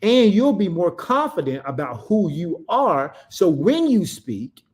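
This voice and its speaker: A middle-aged male preacher with a fairly high-pitched voice delivers a motivational message. He talks emphatically, repeating his points in a rhythmic way and answering his own questions. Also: a little mellow. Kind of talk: motivational sermon.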